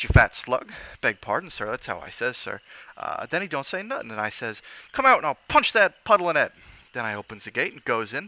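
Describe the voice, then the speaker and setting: A man's voice: mid-range voice, bright, forceful tone, full character voice. A man voicing a young, nervous male bellboy with a Cockney accent who says "sir" constantly and drops his g's. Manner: dropped g's, "sir" after every phrase. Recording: dull lo-fi audio.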